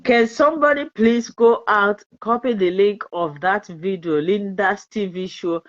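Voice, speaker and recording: A woman's voice: medium pitch, unhurried. Chatty Nigerian woman hosting a live stream. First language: Igbo. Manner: chatty, repeats herself, mixes in pidgin, laughs often. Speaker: fertility channel host. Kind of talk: live-stream advice talk, reading viewer comments. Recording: dull lo-fi live-stream audio.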